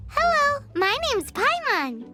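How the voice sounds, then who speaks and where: Squeaky tiny fairy sidekick, very high childlike voice, excitable anime game style, music behind. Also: female voice, takes it slowly, heavily acted. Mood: cheerful. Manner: speaks of herself in the third person, excitable. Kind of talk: English anime game voice acting.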